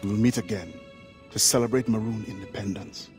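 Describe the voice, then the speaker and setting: An actor playing a solemn, principled assassin no longer young, who speaks formally and deliberately in a video game drama. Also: male voice, fairly low pitch, fairly even intonation, a little mellow, lightly acted. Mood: resolute. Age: middle-aged. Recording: dramatic music behind.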